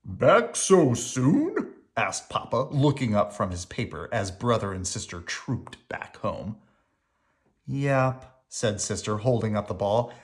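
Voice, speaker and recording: A reader narrating a children's picture book aloud in an expressive storytelling style. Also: low voice, normal speed, few pauses.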